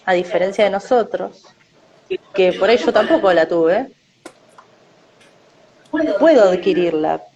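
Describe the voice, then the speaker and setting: A woman with a Rioplatense Spanish accent giving measured interview answers, often tagging her sentences with a questioning "no?". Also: mid-range voice, dark tone, muffled recording. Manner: measured, often adds "no?" tags.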